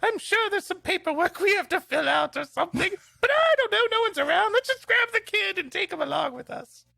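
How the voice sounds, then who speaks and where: A man voicing a posh, gushing English aunt in a theatrical falsetto with a put-on British accent. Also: very animated, fairly quick, creaky, pushed and bright, dry recording, heavily acted. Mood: doting. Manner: theatrical, exclamatory.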